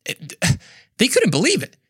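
A man's voice: high voice, huge pitch swings, unhurried, a bit bright, breathy edge, dry recording, clean studio sound. A man hosting a podcast, telling stories casually and conversationally in a playful, joking manner with exclaimed asides. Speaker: podcast host. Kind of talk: casual, conversational storytelling to listeners.

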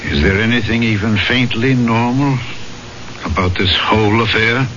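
Man playing a stern, low-voiced magistrate. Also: natural voice. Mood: impatient, skeptical. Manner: brisk, authoritative, questioning. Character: stern, probing male French magistrate, impatient and dutiful. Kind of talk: 1970s radio drama acting. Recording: muffled 1970s radio recording, clear hiss.

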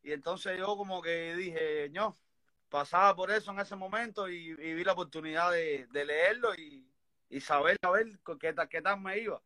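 Cuban man, 30s, high bright voice, earnest and rambling guest. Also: animated, moderate pace. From Cuba. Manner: earnest, rambling, many restarts. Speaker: interview guest. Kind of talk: personal story in conversation.

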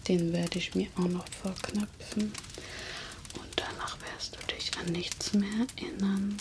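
A teasing female vampire who has kidnapped her listener, as a woman plays her in an ASMR roleplay. She speaks in a slow, soft whisper close to the mic, playful and flirtatious. The pitch is middling for a woman. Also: level tone, lightly acted. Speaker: ASMR creator.

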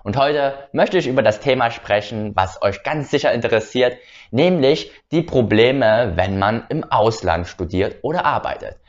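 A man's voice: mid-range voice, animated, moderate pace. Young German man telling a travel story. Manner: hesitant, many "äh" fillers and restarts. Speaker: channel host. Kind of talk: casual personal storytelling. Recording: slightly dull lo-fi sound.

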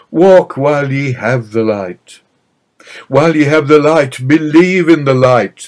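Slow, solemn male devotional narrator. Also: medium pitch. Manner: solemn, measured, expressive reading. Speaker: reader of a religious book.

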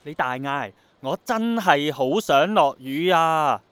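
A man's voice: fairly high pitch, lively intonation, takes it slowly, a bit bright, clean tone, no room sound. An actor playing an earnest, stubborn young man in a radio drama, insistent in manner, with a Hong Kong Cantonese accent. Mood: coaching intently.

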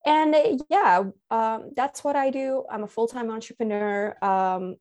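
A woman's voice: a little high, a little slow, darkish tone. A woman from Finland, speaking as a podcast guest in a casual, reflective, earnest way. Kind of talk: casual conversation.

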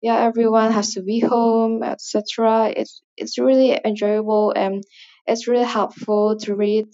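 A woman's voice: on the high side, level tone, moderate pace, warm tone, highs cut off. A woman from Indonesia with an Indonesian accent, English not her first language, leaving a rambling, hesitant voice message full of fillers. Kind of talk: casual spoken voice message.

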